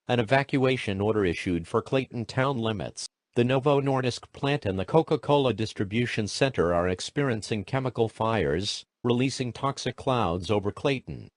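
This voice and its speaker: A man's voice: lowish voice, no echo. A man reading scripted emergency alerts as an announcer, in a formal, impersonal, robotic way.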